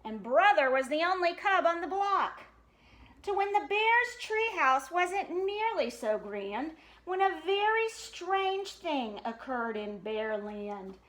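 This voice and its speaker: Storytime reader: woman, very high, animated voice, sing-song delivery, roomy echoey recording. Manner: sing-song, expressive, pauses to question young listeners. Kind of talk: animated read-aloud of a children's picture book with chatty asides.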